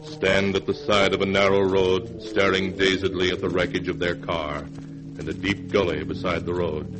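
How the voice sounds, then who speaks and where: An actor narrating a 1940s radio horror drama as an ominous storyteller, solemn, measured and theatrical. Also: male voice, low voice, little pitch movement, lightly acted, poor audio. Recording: 1940s radio recording, music behind.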